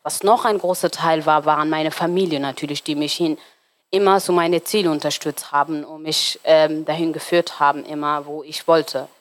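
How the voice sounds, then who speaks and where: A woman in her twenties from Somalia, German not her first language, talking as an interview guest and often pausing on fillers while searching for words. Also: low-pitched, steady tone. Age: 20s. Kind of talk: casual interview talk.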